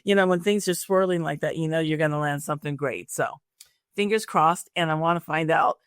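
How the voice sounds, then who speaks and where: A woman's voice: sits low, a little fast, clean tone, no room sound, studio quality. A woman hosting a career podcast, moving between a prepared introduction and friendly interview chat. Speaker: podcast host and career coach.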